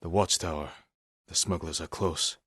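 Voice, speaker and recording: An actor playing a stern, honorable samurai warrior in a video game, with a husky voice and a measured, terse, commanding manner. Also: male voice, low-pitched, steady tone, a bit bright, no room sound, clean studio sound. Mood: grave, subdued. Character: stern honorable adult male samurai warrior, dutiful and weary. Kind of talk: video game character voice lines.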